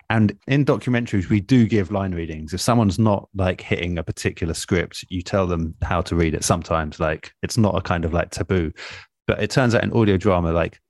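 Deep-voiced man telling anecdotes casually. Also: a little mellow, dry recording, studio quality. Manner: halting, informal, self-deprecating. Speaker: radio producer and interview guest.

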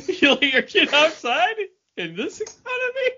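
A man's voice: very high-pitched, huge pitch swings, measured pace, sharp tone. A man co-hosting a podcast in casual chat, joking and mimicking others in mock quotes. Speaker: podcast co-host. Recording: dull, lo-fi audio.

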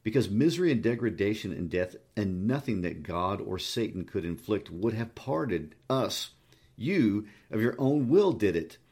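A man's voice: on the low side, animated, moderate pace, a little mellow, slightly rough. A man voicing a tormented, savage and despairing lover in a dramatic novel reading, emphatic and accusing. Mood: anguished, accusing.

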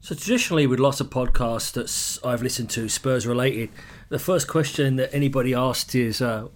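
A man's voice: mid-range voice, fairly quick. Chatty English man hosting a podcast. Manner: chatty, loose, many ums and uhs. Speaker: podcast host. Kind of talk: solo podcast monologue.

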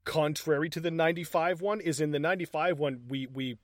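A talkative man in a casual film review chat who walks through scenes and swears casually. His voice sits a bit higher than most men's and is recorded close and dry. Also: steady tone, fairly quick, dry recording. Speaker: movie review podcast co-host.

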